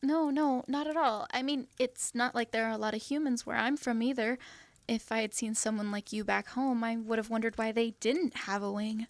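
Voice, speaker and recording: A woman's voice: a little high, fairly even intonation, moderate pace, no echo, close to an everyday voice. A woman voicing a shy young winged girl who travels with her cat, speaking in a hesitant, apologetic way. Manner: hesitant, apologetic, soft-spoken. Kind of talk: fantasy audio drama acting.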